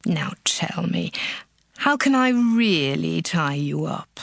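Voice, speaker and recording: Woman doing a sly scheming temptress, slow and pleading, dry close sound. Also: lowish voice, expressive, vocal fry, no room sound, heavily acted. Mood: coaxing. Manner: coaxing and reproachful. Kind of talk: dramatized scripture reading.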